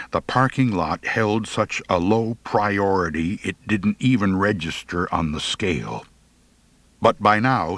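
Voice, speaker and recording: A man's voice: fairly low pitch, a bit bright. A man narrating a humorous first-person story as an audiobook reader, with a dry, theatrical, mock-pompous delivery.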